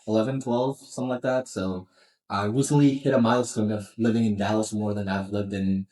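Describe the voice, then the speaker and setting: A man's voice: on the low side, steady tone, a little fast, mellow. A young man from Nepal guesting on a casual podcast, speaking in an easygoing way. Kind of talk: casual chat. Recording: distant from the mic.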